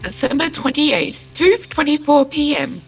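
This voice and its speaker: A female scene announcer, played by a woman in a live game dub. She reads captions in a high voice, with faint music behind her. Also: lively intonation, moderate pace. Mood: declarative.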